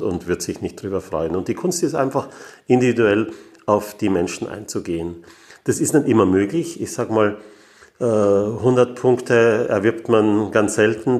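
A man in his sixties with an Austrian accent, giving reflective, deliberate interview answers as a guest, pausing as he thinks. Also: lowish voice, darkish tone. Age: 60s. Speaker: interview guest, business owner.

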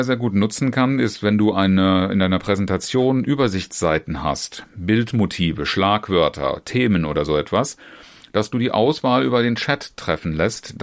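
Deep-voiced German trainer explaining directly. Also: male voice, creaky. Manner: speaks in long chained clauses with frequent restarts and fillers; addresses the listener directly as "du". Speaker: trainer and trainer educator. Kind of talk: informal instructional talk on online seminars.

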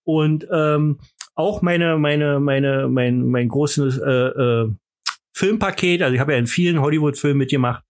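A man's voice: a little high, unhurried. A man with a Berlin accent hosting a podcast, joking around in boastful, mock-pompous banter full of fillers and restarts. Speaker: podcast host.

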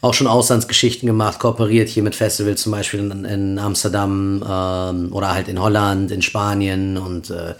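A man in his thirties, from Peru, talks about his work as a podcast guest. His voice is very deep, and he rambles in a chatty way with plenty of "äh" and "ähm". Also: flat delivery, moderate pace, hardly any pauses. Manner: chatty, rambling with many fillers. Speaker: guest, event promoter. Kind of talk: casual podcast interview.

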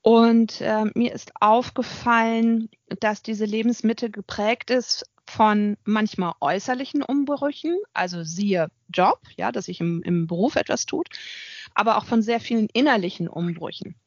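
A woman's voice: medium pitch, a little slow, no room sound, highs cut off. A middle-aged woman, an author and consultant, giving a thoughtful interview with frequent hesitations. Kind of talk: reflective interview talk.

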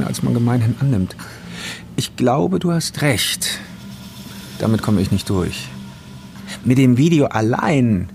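Male, thriller audio drama: polite but menacing avenger, deliberate, mid-pitched, background noise. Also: vocal fry, a little mellow. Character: vengeful man, polite and halting, coldly menacing. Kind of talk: naturalistic audio drama acting.

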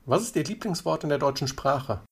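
Slightly husky male interviewer. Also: a little high, expressive, fairly quick. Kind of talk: prepared interview questions.

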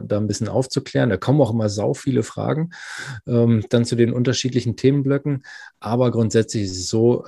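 A man working as an insurance advisor, explaining in a relaxed, chatty way with many fillers and restarts. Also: medium pitch, slightly rough, dry recording, studio quality. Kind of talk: casual podcast explanation.

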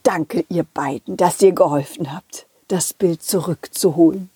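A woman voicing a calm, kindly male police officer in a children's audio play, reassuring and gently instructive. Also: fairly low pitch, unhurried, breathy edge, dry recording, studio quality, pitched below the performer's own voice. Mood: grateful, admiring.

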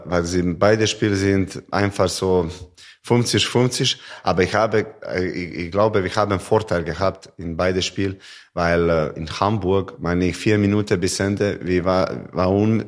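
A handball player in a podcast interview, speaking halting non-native German with many fillers, originally from Montenegro. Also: male voice, low-pitched. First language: not German.

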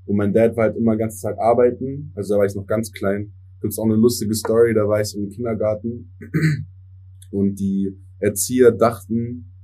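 A young man, a native English speaker, giving relaxed interview answers as a musician and mixing English words into his speech. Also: fairly low pitch, slightly rough, poor audio. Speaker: musician guest.

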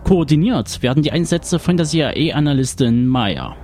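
A man's voice: fairly high pitch, animated, unhurried, darkish tone, soft background music. A man reading a scripted film synopsis as an announcer.

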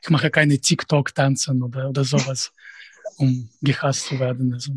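A man's voice: medium pitch, flat delivery, normal speed, breathy edge, dry recording. A man in his late thirties with a Russian accent, a professor answering interview questions in a hesitant, direct way. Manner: hesitant, restarts phrases, direct. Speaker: professor and YouTuber, interview guest.

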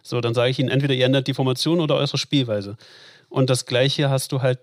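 A man's voice: mid-range voice, fairly even intonation, quick pace, no room sound, studio quality. A man coaching an esports football team, answering interview questions at length with frequent fillers. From Germany. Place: Ruhr area.

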